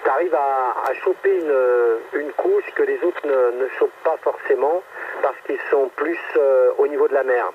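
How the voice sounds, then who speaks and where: A man's voice: medium pitch, unhurried. Through a thin, tinny radio link full of noise comes a man's voice, sounding far away. He is an amateur operator in a casual on-air chat. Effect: radio transmission. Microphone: radio microphone heard through a receiver. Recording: very noisy, band-limited, distant radio link.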